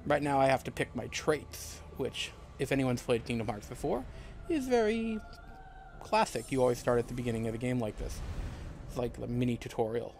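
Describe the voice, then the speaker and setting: A man's voice: medium pitch, sing-song, moderate pace, a little mellow, slight background noise. A man hosting a let's play, talking casually over a video game as he plays. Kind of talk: casual gameplay commentary.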